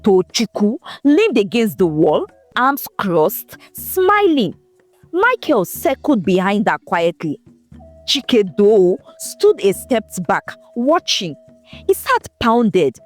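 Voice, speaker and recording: Expressive woman storyteller over faint music. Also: medium pitch, slow pace. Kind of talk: scripted folktale narration.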